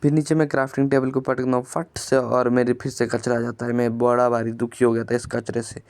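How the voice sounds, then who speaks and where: A man narrates his own gameplay in an even, level tone, his voice smooth and clear even with a sore throat. He tells it plainly and often repeats words as he goes. The voice is dry and close to the mic. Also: medium pitch, fairly quick, no room sound. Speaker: gaming channel creator.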